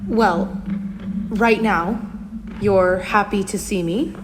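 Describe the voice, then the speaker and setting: An actress playing a serene, eerie young cultist daughter in an audio play, speaking in a calm, measured, coaxing way. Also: female voice, mid-range voice, noisy background, close to an everyday voice. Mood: calm, insistent. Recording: loud music behind. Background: loud music.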